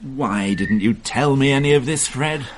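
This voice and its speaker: A man's voice: mid-range voice, unhurried, a little hiss or hum, natural voice. A man playing a persistent police inspector in a classic radio drama, questioning suspects in clipped, pointed lines. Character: brisk, probing male police inspector.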